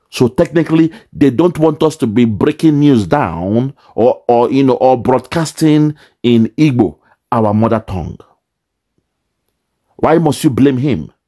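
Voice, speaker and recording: A man's voice: mid-range voice, dark tone. A man commenting on a video clip, indignantly explaining and repeating his points with rhetorical questions.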